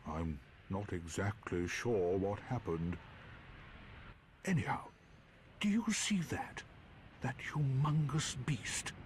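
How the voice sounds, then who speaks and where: A good-natured, bumbling knight from a fantasy video game. He muses slowly and theatrically in old-fashioned phrases, his voice rising and falling widely, and he hesitates as he ponders. There is faint noise behind him. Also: lowish voice, very animated. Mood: puzzled, musing.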